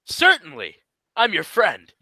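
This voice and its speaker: A man's voice: high voice, huge pitch swings, a little slow, pushed and bright, husky, dry recording, heavily acted. A man playing a bossy, cajoling, self-serving straight man in a vintage-style comedy radio double act.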